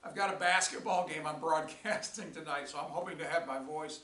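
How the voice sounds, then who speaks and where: An older male teacher recapping a lesson in a rambling way with many restarts, his voice raspy and tired. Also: on the high side, fairly quick, sharp tone, recorded from a distance. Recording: echoey room, voice from off-mic.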